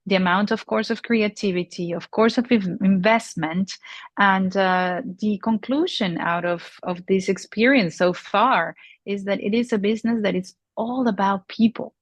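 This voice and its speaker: A woman in her forties from Peru, answering interview questions in a halting way with many fillers and restarts. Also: medium pitch, dry recording. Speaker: luxury industry guest. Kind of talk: conversational interview.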